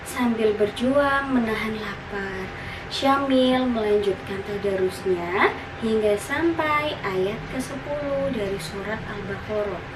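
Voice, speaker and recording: A woman tells a children's story in a fairly high voice at a slow, expressive pace. She sounds set back from the microphone in an echoey, noisy recording. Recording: roomy, distant, noisy recording.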